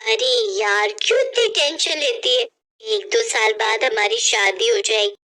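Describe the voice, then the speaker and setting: Woman as a high-pitched, sly young daughter-in-law. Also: very animated, unhurried, pushed and bright, full character voice. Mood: breezy. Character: sly, lazy young married woman, sweet-talking twin sister. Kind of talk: animated Hindi moral story voicing.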